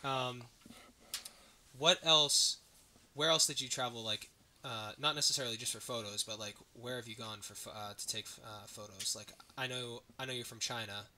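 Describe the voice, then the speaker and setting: Young man with a very bright voice. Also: mid-range voice, steady tone, normal speed. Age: teens or young adult, a student. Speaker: podcast host, interviewer. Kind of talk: casual podcast interview.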